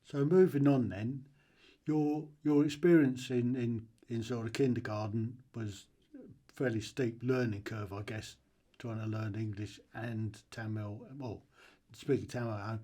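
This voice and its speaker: A man hosting a podcast, asking relaxed questions with hesitant restarts. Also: mid-range voice, measured pace. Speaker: podcast host. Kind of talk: casual interview.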